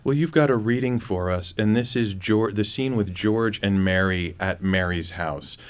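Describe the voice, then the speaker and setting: A man with a fairly low, smooth voice conducts an interview. He sounds conversational and inquisitive, sometimes restarting a phrase as he shapes a question. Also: moderate pace. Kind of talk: conversational radio-style interview.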